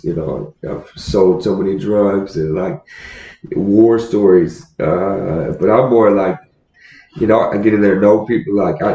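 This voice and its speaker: A man's voice: low voice, a little mellow. A man hosting a casual podcast chat, laid-back and swearing freely, laughing as he tells his stories. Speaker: podcast host. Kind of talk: casual conversation. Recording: roomy, dull sound.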